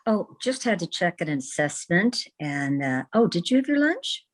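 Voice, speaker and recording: A woman playing a brisk, skeptical but caring niece in an old-time radio comedy, dryly dismissive. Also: on the low side, sing-song. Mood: concerned. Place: home, online video-call production.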